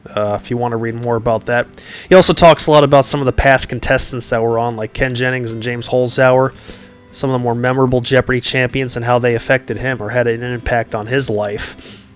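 A man's voice: mid-range voice, steady tone, a little fast, soft background music. A man hosting a podcast in casual, rambling chat, with a nasal, congested-sounding voice and lots of fillers and restarts. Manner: rambling, many fillers and restarts, chuckles often. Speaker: podcast host.

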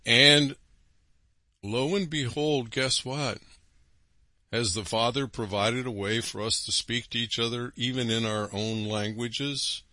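A man's voice: medium pitch, fairly even intonation, slow pace, bright, forceful tone, no room sound. A man hosting a religious broadcast, preaching emphatically with rhetorical questions and frequent asides. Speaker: radio broadcast host.

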